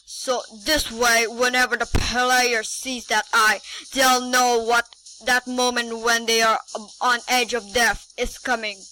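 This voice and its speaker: Woman doing a smug skeleton, slow delivery. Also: fairly high pitch, flat delivery, sharp tone, soft background music, low-quality recording. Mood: smug, instructive. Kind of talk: amateur comic dub acting.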